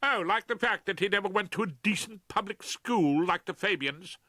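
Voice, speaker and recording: A man's voice: high-pitched, lively intonation, moderate pace, sharp tone, no room sound, studio quality, pitched above the performer's own voice, full character voice. A man voicing a wrathful young radical woman lawyer, indignant and sarcastic, in an audiobook. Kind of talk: audiobook character voice.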